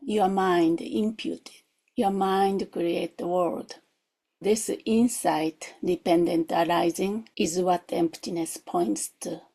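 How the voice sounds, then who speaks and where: Female meditation teacher. Slow, reflective, questioning delivery in short phrases; soft-edged, mellow tone with a creaky grain. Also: lowish voice, fairly even intonation. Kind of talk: guided meditation, gentle instruction.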